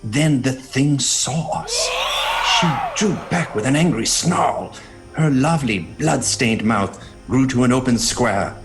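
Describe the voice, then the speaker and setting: An actor playing a sceptical, fearful doctor in a gothic audio drama, speaking in an earnest, dramatic way. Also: male voice, medium pitch, lively intonation, slow pace, low-quality recording. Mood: horrified. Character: haunted male doctor, sceptical and fearful, recounting horrors. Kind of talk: gothic audio drama diary narration and dialogue. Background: music.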